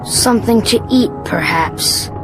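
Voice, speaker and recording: An actress playing an eerily polite, composed child in a radio drama, very formal. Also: female voice, a little high, lively intonation, measured pace, music in the background, low-quality recording. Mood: chilly courtesy.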